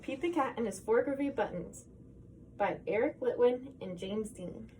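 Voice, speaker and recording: A woman reading a children's picture book aloud in an expressive, sing-song manner, posing questions as if to young listeners. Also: a little high, normal speed, slight background noise, low-quality recording. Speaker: storybook reader.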